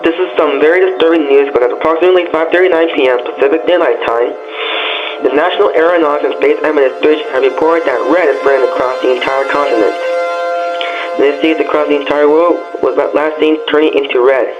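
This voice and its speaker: A man's voice: on the high side, steady tone, quick pace. A man reading a scripted emergency broadcast announcement in a formal, solemn manner. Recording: dull lo-fi broadcast, loud music behind.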